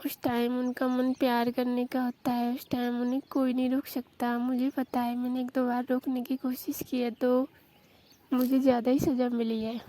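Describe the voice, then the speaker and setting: A woman telling an intimate romantic story in a confessional style, with drawn-out, repetitive phrasing. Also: on the high side, monotone, warm tone. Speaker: storyteller in a staged intimate phone conversation.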